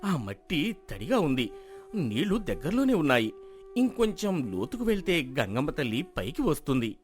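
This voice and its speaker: Guy doing a stubborn hopeful farmer in a folk story, kinda high voice, really animated, pleading and emphatic. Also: normal speed, soft background music. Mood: stubborn, insistent. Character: desperate, stubborn, hopeful middle-aged village farmer. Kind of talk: dramatized folk moral story acting.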